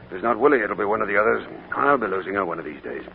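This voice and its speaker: An actor playing a gruff but affectionate old uncle in a 1940s radio drama, muttering a little to hide his tenderness. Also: on the low side, expressive, very fast. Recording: tinny, noisy 1940s radio broadcast.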